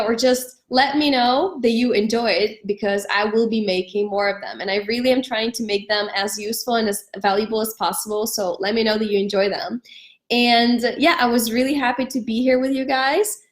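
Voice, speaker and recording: A young woman from Ukraine hosting a live stream, giving friendly advice with many pauses, fillers and restarts. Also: a little high, reverberant. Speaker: host of a live stream on studying abroad.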